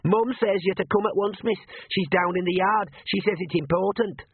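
A man's voice: sits high, fairly even intonation, moderate pace, a little hiss or hum, pitched above the performer's own voice, natural voice. A man voicing an earnest young lad, urgent and deferential in manner. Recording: lo-fi, narrow phone-like sound.

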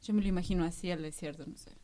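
A woman's voice: fairly low pitch, rapid-fire, background noise. A woman with an Argentine accent from La Rioja, recording playful community radio station promos with repeated chanted lines. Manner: playful, repetitive chanting, laughing asides.

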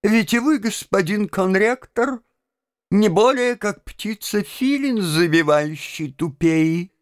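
A dreamy, excitable young student from a classic tale, played by a male storyteller. His high voice is earnest and fervent. He declaims naively at an unhurried pace, with lively melody. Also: clear, resonant tone, no room sound, clean studio sound. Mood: insistent. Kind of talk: dramatized audiobook reading of a classic tale.

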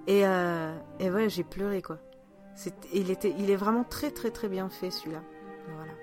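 A woman hosting a podcast in casual chat, enthusiastic and halting, repeating words as she goes. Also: mid-range voice, music in the background. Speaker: podcast host.

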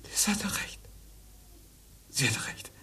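An actor playing a distraught stammering old husband. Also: male voice, takes it slowly, whispered, far from the mic, close to an everyday voice. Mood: despairing. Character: anxious elderly husband, confused and desperate. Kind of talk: radio play acting.

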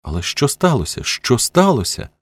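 Audiobook role: devoted female carer, fierce and emotional, animated delivery, acted by a man. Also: medium pitch, normal speed, slightly rough, dry recording, pitched above the performer's own voice. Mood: tender, upset. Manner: intense, urgent, emotional.

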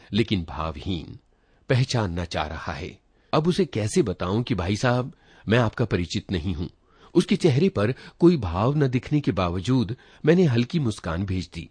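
A man narrates a literary short story in a fairly low, husky voice. His delivery is dramatic and reflective, with very lively swings in pitch, as he reads in the first person. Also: no room sound. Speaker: story reader.

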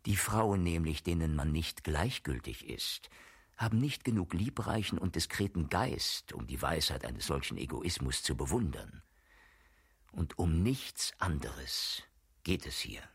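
A very deep, sardonic worldly friend. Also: male voice, sing-song, measured pace, breathy edge, exaggerated voice. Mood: argumentative. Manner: rhetorical, declamatory, sardonic. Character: worldly cynical male friend, lecturing with learned bawdy irony. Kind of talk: German radio play acting.

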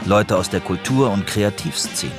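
A man's voice: fairly low pitch, moderate pace, over music. A man narrating a scripted radio documentary.

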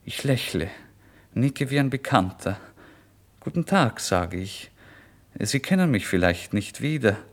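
A man's voice: medium pitch, big pitch swings, a little slow, pauses often. An actor playing a starving, proud and agitated down-and-out man in a dramatic literary radio monologue. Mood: insistent, sly. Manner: dramatic, swinging from hushed brooding to indignant outbursts.

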